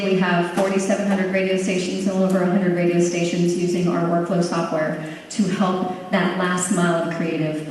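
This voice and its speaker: A woman's voice: on the low side, a little mellow. A woman in her fifties on a conference panel, telling a chatty story full of "um"s. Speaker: panelist, company founder. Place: large conference hall. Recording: echoey hall from far off, faint noise.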